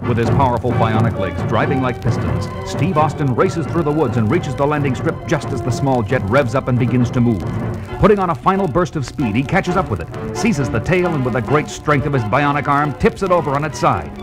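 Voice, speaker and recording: An actor playing a dramatic adventure narrator, reading scripted story narration in an emphatic, suspenseful manner. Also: male voice, medium pitch, animated, a little fast, loud music behind the voice, natural voice, poor audio. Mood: excited, dramatic.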